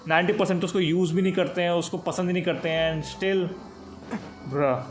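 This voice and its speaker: A man's voice: high voice, fairly even intonation, very fast, a little hiss or hum. A man with an Indian accent, chatting casually as a game streamer in a slangy, sweary way. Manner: slangy, sweary, switching between languages. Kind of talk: casual livestream chat.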